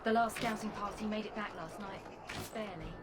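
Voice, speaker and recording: An actress playing a cynical, weary soldier with a dry, sardonic manner. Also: female voice, mid-range voice, brisk, over loud music, lightly acted. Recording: game dialogue over camp ambience.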